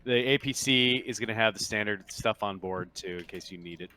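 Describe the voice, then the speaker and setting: A man with a fairly low voice acts as game master in a casual tabletop session. He describes scenes in loose, conversational language. There is clear background noise with clicking and typing. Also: a little fast, pushed and bright. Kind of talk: casual tabletop roleplaying narration.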